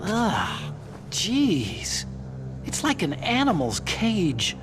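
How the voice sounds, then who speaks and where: An actor playing a nervous, eager young scientist sidekick in a video game, boyish and easily flustered. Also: male voice, sits high, huge pitch swings, extremely slow, over music, full character voice. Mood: anxious, helpful.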